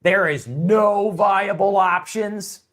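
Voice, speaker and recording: A man's voice: sits high, takes it slowly, a bit bright. A man ranting in his own online video, mocking and piling up exaggerated warnings of doom. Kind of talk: ranting monologue.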